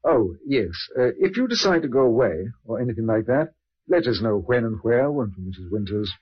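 A dull vintage radio recording of a seasoned police inspector. His voice is husky and swings freely in pitch, with a courteous, patient manner. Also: lively intonation, fairly quick. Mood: measured, polite. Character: considerate, patient, experienced male police inspector. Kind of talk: old-time radio drama acting.

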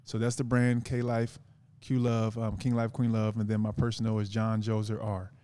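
Earnest rambling man, mellow voice. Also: fairly low pitch, completely flat delivery, moderate pace, clear, resonant tone, no echo. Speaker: podcast guest, author. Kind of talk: casual podcast chat.

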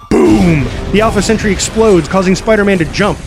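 High-voiced man narrating action with punch. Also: normal speed, over music. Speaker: narrator reading stage directions. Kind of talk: scripted dramatic narration.

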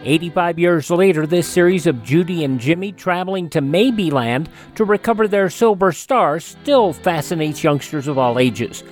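Animated male radio host. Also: on the high side, moderate pace, hardly any pauses, soft background music. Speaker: classic radio show host. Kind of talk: scripted narration and promo.

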